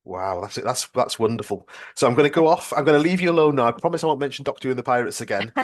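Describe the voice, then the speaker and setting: A man's voice: medium pitch, expressive, quick pace. Hesitant man hosting a chat. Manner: hesitant, self-deprecating. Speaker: podcast host, interviewer. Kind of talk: casual fan interview.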